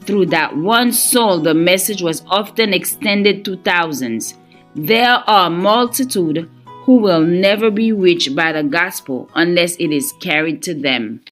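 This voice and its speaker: A woman reading a scripted devotional in a measured, earnest manner. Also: on the low side, faint music behind. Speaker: devotional reader.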